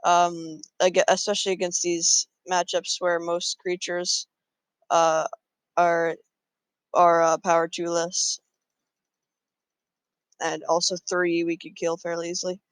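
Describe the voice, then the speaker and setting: A man's voice: fairly high pitch, monotone, slow, long pauses, fairly bright tone, smooth, no room sound. A man casually talking through his moves in a card game, thinking aloud with frequent fillers. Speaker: gameplay commentator.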